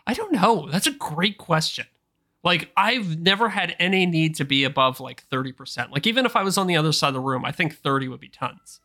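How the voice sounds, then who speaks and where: Bright-toned man co-hosting a podcast. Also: a little high, expressive, a little fast. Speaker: podcast co-host. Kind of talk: casual podcast chat. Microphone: voice mic on a boom arm.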